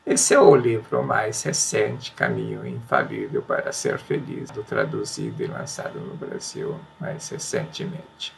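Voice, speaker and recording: A man with a gravelly, creaky voice talks about spiritual books in an earnest, reflective manner, slowing down on the phrases that matter to him. Soft room ambience hums faintly behind him. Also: on the low side, slight background noise. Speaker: religious book presenter. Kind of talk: casual spoken book commentary and reading aloud.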